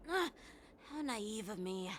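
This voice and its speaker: A woman's voice: medium pitch, sing-song, slow, slight background noise. A woman voicing an earnest, proud young female retainer in a video game, speaking formally, with music behind her. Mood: dismayed. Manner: formal, earnest, self-reproaching. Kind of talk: scripted video game acting.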